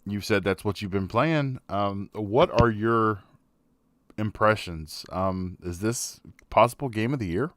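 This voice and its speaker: Measured man hosting a dry podcast. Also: lowish voice, fairly bright tone, no echo. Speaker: podcast host. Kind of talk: casual gaming podcast chat.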